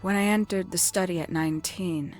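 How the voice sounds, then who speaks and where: A woman voicing a composed, calculating young woman in a video game, speaking in a measured, formal, theatrical way as she confesses. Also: on the low side, fairly even intonation, faint music behind, lightly acted. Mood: wistful, bitter. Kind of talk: dramatic scripted confession monologue.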